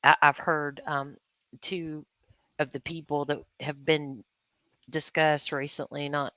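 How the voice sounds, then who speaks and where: Low-voiced woman, defensive and puzzled. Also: little pitch movement, a bit bright, dry recording. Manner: defensive, puzzled, halting restarts. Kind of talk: casual livestream chat.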